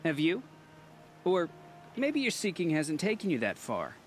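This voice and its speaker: Cocky game hunter, teasing and boastful, voice bounces around, bit of background noise. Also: male voice, fairly high pitch, huge pitch swings, a little slow. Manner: boastful, provocative. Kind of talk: video game voice acting.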